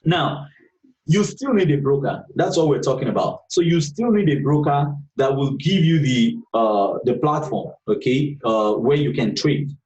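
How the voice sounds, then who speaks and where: A man's voice: mid-range voice, expressive, moderate pace, a little mellow, distant mic, muffled recording. A man teaching beginners in a live online class, going over each point patiently and checking in with the group again and again. His voice sounds set back from the mic, with a roomy echo around it. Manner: patient, repeats points, frequent "okay" checks. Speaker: trading instructor.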